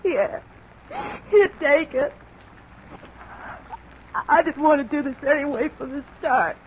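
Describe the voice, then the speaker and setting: An actress playing a despairing, world-weary woman in an old radio crime drama, her delivery slow and emotional. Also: high voice. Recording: muffled, noisy vintage radio recording.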